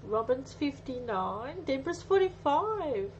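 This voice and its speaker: A woman in her forties talks casually at an unhurried pace, hosting a craft livestream. She thinks aloud and chats with viewers as she works. The sound is slightly dull, with faint noise behind her. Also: sits high, very animated, a little mellow. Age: 40s. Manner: chatty, thinking aloud, reading out comments. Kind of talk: casual live craft demonstration, chatting with viewers. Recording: muffled audio with faint background noise.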